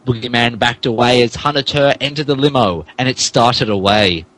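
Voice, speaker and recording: A man of average pitch narrates a piece of amateur fiction. He delivers it with mock drama, as a podcast host reading a story aloud. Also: normal speed, a bit bright.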